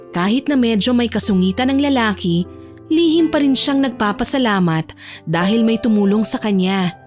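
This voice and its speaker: A woman's voice: on the high side, a little mellow. A woman reading scripted narration for a romance audio drama, with music playing behind her.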